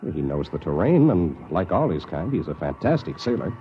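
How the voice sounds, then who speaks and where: A mild, conscientious expedition scientist, very low and husky. He speaks in a 1950s radio drama style on a dull old recording. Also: big pitch swings, fairly quick, heavily acted. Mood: cautious. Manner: mild, conscientious, matter-of-fact. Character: earnest, cautious male anthropologist on alien expedition.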